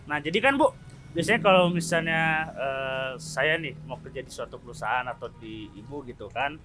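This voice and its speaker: A man's voice: mid-range voice, expressive, moderate pace. A man with a slight slur on his r sounds, hosting a casual, playful podcast chat and asking questions. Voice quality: slight slurred r (cadel). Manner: playful, colloquial, teasing banter.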